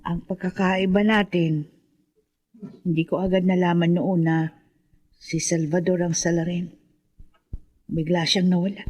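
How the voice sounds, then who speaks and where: A woman's voice: fairly low pitch, level tone, measured pace, long pauses, a little mellow. An actress playing an anxious, sorrowful mother of a grown daughter in a radio horror drama, speaking emotively and hesitantly. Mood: sorrowful recollection.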